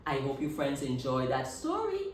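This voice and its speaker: A woman telling a story expressively to young children, in simple, deliberate phrases with emphasis. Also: extremely low pitch, wildly swooping pitch. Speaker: children's read-aloud storyteller. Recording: echoey room, distant mic, faint noise.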